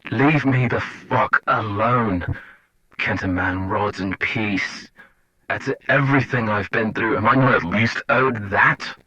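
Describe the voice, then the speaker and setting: Bright, forceful bitter male prisoner, played by an actor. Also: fairly low pitch, takes it slowly, heavily acted. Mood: bitter despair. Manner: bitter, swearing, pleading. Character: bitter, broken male prisoner begging to be left alone. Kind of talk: dramatic audio drama acting. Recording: dull lo-fi cassette, faint music.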